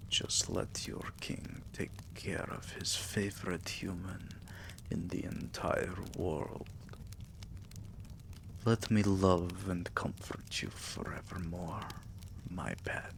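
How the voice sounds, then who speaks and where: A man playing a tender, possessive vampire king in an intimate ASMR roleplay, murmuring soothingly and affectionately in a breathy, gravelly half-whisper. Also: sits low, fairly even intonation, slow pace, noisy background, heavily acted.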